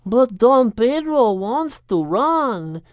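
A man voicing a placid, chatty female cow in a storybook, with an unhurried delivery. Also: extremely high pitch, big pitch swings, clear, resonant tone, dry recording, pitched above the performer's own voice, exaggerated voice. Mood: placid, gently warning.

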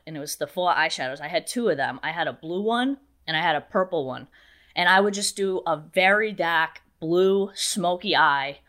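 Deep-voiced young woman, chatty. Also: a bit bright. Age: 20s. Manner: chatty, laughs often, storytelling. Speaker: guest, makeup artist. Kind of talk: casual interview chat.

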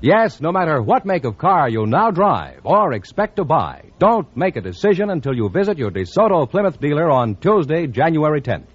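A man's voice: on the high side, big pitch swings, normal speed, few pauses, breathy edge. An American man announcing in scripted mid-century radio style with a polished, salesman-like delivery. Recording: muffled old radio recording.

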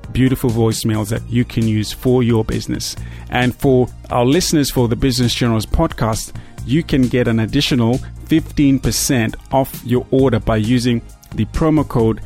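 A man hosting a business podcast in an eager, effusive manner, his pitch sitting in a middle register. Soft music plays faintly behind him. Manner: enthusiastic, effusive. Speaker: podcast host. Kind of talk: upbeat podcast hosting and sponsor read.

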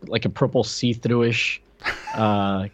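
A man's voice: lowish voice, steady tone, slow, a little hiss or hum. A man co-hosting a podcast, chatting casually and commenting on television. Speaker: podcast co-host.

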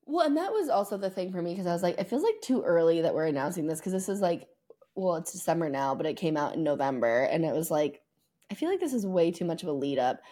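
A woman's voice: lowish voice, big pitch swings, fast talker, warm tone. A woman co-hosting a podcast, leading casual sports chat in a playfully exasperated, teasing way. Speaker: podcast co-host.

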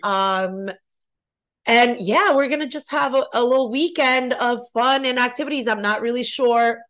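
Chatty woman in her forties, slightly high. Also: moderate pace. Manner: warm, chatty, laughs easily, swears casually. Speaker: podcast guest, host's fiancée. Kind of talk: casual chat. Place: home living room.

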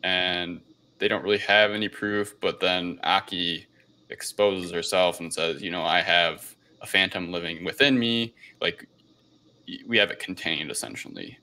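A man's voice: low-pitched, a little slow, bright, forceful tone. A man hosting a podcast, recapping a film in casual, loose, informal conversation. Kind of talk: casual film review chat.

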